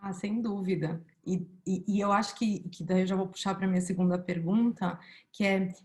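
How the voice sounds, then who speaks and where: A Brazilian woman hosts an online panel, her voice sitting mid-range for a woman. She talks loosely and conversationally, filling pauses as she thinks aloud. The sound is a plain video-call connection. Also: mid-range voice, steady tone, darkish tone. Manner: conversational, many fillers, thinks aloud while asking. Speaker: panel moderator. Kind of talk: webinar panel discussion.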